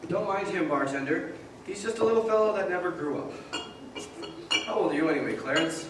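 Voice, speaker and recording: A man's voice: high voice, lively intonation, fairly quick. A man acting a frantic, bewildered family man in a stage radio play, agitated and pleading. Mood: urgent. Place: live stage in a large echoing hall. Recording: echoey hall from far off, noisy.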